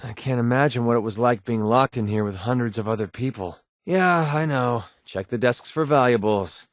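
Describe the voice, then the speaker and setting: Male actor as young mercenary sidekick, cynical, casual, sardonic, medium pitch, video game dialogue, very dry recording. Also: moderate pace, dry recording, close to an everyday voice. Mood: wry, matter-of-fact. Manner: sardonic, casual, world-weary. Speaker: game companion character.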